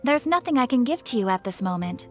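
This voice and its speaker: A woman's voice: on the high side, big pitch swings, fast, over loud music. A woman voicing a polite, composed guild receptionist in a video game, formal and courteous. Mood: apologetic. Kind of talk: video game character acting.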